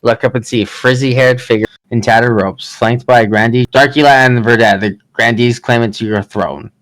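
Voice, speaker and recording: A man's voice: medium pitch, fairly even intonation, moderate pace, a bit bright, dry recording, rough recording. A man reading scripted fantasy story narration aloud as a player in a party game.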